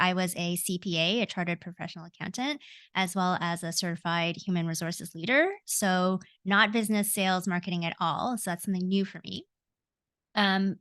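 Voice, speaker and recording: A woman in her forties with a Canadian accent talks as a podcast guest, earnest and reflective. She often pauses with ums and corrects herself mid-sentence. Her voice sits in a middle range and the recording is dry and close. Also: medium pitch, fairly bright tone, no echo. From Hong Kong, raised in Canada. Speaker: guest, coach. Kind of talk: podcast interview.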